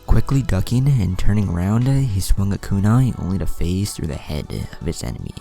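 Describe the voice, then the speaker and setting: A man with a gravelly voice reading a scripted fan fiction story as narrator. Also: low-pitched, animated, moderate pace, mellow, faint music behind.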